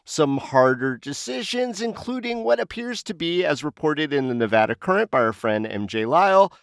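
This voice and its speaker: A man with a clean, smooth voice in a dry, close recording talks through local news as a podcast co-host. He keeps it conversational and hands questions over to the others. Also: on the high side, sing-song, moderate pace, no room sound. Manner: conversational, posing questions to co-panelists. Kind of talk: casual news discussion.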